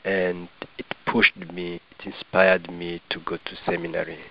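Middle aged guy, rwandan accent, really low voice, talks earnest n measured, kinda muffled lo-fi recording. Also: completely flat delivery, vocal fry. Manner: measured, earnest, formal phrasing. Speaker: doctor, interview guest. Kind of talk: reflective personal testimony in an interview.

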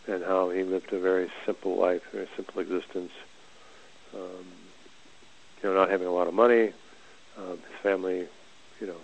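A soft-spoken man with a low, gravelly voice speaks haltingly, restarting his sentences often as he explains. His audio comes through dull and lo-fi, like a remote call. He talks as a guest in a relaxed conversation. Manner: hesitant, halting with many restarts.

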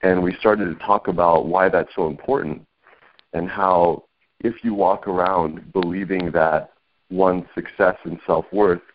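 A man hosting an interview over a video call, speaking in a measured, reflective way. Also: sits low. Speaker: host. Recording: dull lo-fi call audio.

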